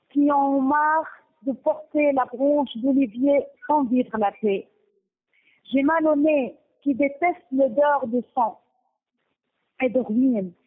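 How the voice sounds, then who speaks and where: A woman from Gaza, speaking haltingly with many restarts, giving a personal testimony and partly reading her own text. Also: high-pitched. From Gaza, Palestine. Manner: halting, with frequent restarts and pauses. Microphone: telephone. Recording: tinny phone line.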